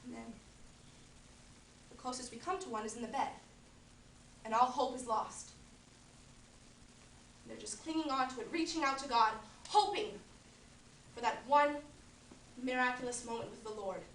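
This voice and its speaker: A woman's voice: on the high side, normal speed, pauses often, fairly bright tone, a little hiss or hum. A woman playing a grieving woman who longs for lost faith, declaiming solemnly in a roomy space from a distance. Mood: mournful.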